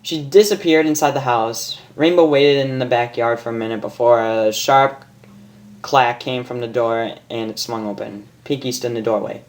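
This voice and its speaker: A woman's voice: extremely low pitch, steady tone, moderate pace, darkish tone. A woman narrating a story informally as a fan fiction reader, stumbling over lines and rereading them with self-mockery. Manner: stumbling, re-reads botched lines, self-mocking.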